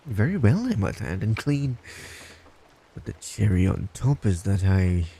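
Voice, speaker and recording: Man playing a low, slow, creepy obsessive stalker. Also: big pitch swings, gravelly, warm tone, husky, exaggerated voice. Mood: matter-of-fact persuading. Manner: blunt, condescending, teasing. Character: blunt, smug, creepy obsessive male stalker in love. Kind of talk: boyfriend roleplay audio, intimate scripted monologue.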